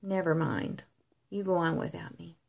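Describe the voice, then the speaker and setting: A woman's voice: medium pitch, big pitch swings, normal speed, gravelly, breathy edge, exaggerated voice. A grandmother reading as a kind young boy. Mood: subdued. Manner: earnest, gentle.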